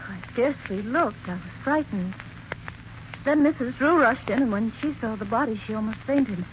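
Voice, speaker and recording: A woman's voice: fairly high pitch, normal speed, a little hiss or hum, close to an everyday voice. An actress playing a frightened, faltering young woman. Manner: breathless, faltering. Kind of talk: 1940s radio acting. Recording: dull old radio recording.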